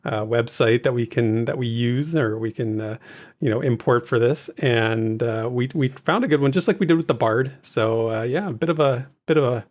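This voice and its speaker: A man's voice: medium pitch, normal speed, a little mellow. A man co-hosting a podcast, talking in a casual, conversational way. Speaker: podcast co-host.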